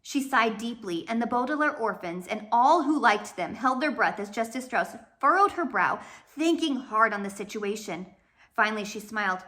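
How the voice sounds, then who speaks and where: A woman reads a children's story aloud as its narrator. Her delivery is expressive and scripted. The voice sits a little high and is bright and forceful. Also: normal speed. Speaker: audiobook narrator.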